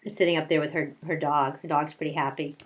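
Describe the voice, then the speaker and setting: A woman with a fairly low voice speaks as a kindergarten teacher at story time. Her delivery is conversational and aimed straight at young children, in the style of a picture-book read-aloud mixed with casual chat. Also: fairly even intonation, fast talker, background noise. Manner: conversational, addresses children directly with questions.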